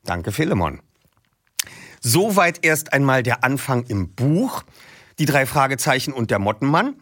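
A man hosting a podcast, reading passages from a book in a lively storytelling way. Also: mid-range voice, a little slow, dry recording, clean studio sound. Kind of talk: audiobook reading and podcast presenting.

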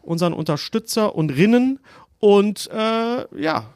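A man's voice: high voice, animated, measured pace, a little mellow, dry recording, studio quality. A male podcast host chatting casually, playful and often repeating himself for emphasis. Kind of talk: casual banter.

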